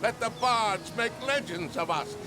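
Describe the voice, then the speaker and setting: A man's voice: high voice, animated, unhurried, music in the background. A man voicing a proud, heroic prince in a video game, in declamatory oratory to his soldiers. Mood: rousing. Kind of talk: video game cutscene acting.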